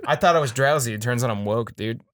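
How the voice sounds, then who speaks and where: A man's voice: mid-range voice, quick pace, noisy background. A man co-hosting a casual comedic recap, joking in slangy, profane language. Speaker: podcast co-host.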